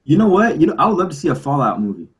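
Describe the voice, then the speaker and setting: A man's voice: on the high side, very animated, racing, husky. A man chatting casually as a panelist, speaking emphatically and repeating himself to drive a point home. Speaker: podcast panelist.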